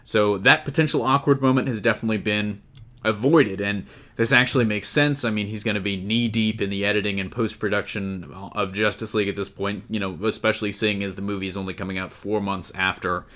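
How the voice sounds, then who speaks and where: A man's voice: on the low side, steady tone, fairly quick, fairly bright tone. A man hosting a casual entertainment news discussion in a conversational way, putting questions to his co-hosts. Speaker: podcast host.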